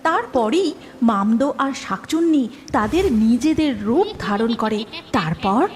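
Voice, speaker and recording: A woman's voice: on the high side, expressive, slow pace, a little hiss or hum. A woman narrating a scripted cartoon story.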